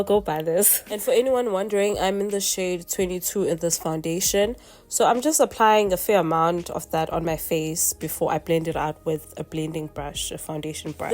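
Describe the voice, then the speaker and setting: A woman's voice: lowish voice, a little mellow. Congested young woman. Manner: chatty, explains each step. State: congested from a cold. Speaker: makeup vlogger. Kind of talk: makeup tutorial voice-over.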